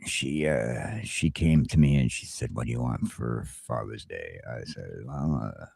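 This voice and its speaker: A man's voice: very deep voice, unhurried, creaky, darkish tone, husky, no room sound, clean studio sound. A middle-aged American man hosting a casual, comedic morning chat show, telling rambling stories with crude humor. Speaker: talk show host.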